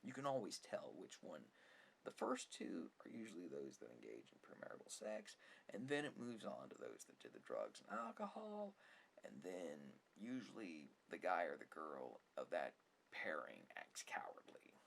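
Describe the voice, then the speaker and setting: Soft-spoken ASMR host with plenty of fry, rambling and opinionated about films, slightly noisy room recording. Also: sits low, huge pitch swings, moderate pace. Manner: rambling, opinionated, mildly sarcastic. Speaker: ASMR video creator. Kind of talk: ASMR rambling film commentary.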